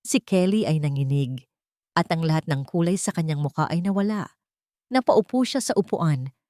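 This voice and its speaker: A woman's voice: low-pitched, lively intonation, normal speed, mellow, no room sound, studio quality. A woman reading a scripted, dramatic romance story as its narrator.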